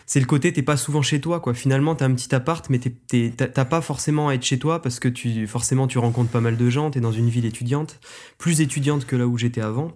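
A man's voice: mid-range voice, quick pace, darkish tone. A man in his twenties from Auvergne, co-hosting a podcast in a loose, joking chat full of fillers. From Auvergne, France. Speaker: podcast co-host. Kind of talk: casual chat.

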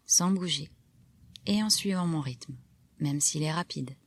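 A woman guiding a relaxation meditation, giving calm, soft, measured instructions with pauses between phrases. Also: low voice, no room sound. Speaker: yoga nidra guide. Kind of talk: guided relaxation meditation narration.

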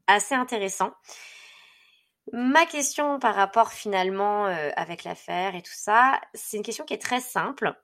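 A woman co-hosting a casual discussion podcast, presenting a case and arguing her points in a lively, direct way. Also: medium pitch. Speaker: podcast co-host.

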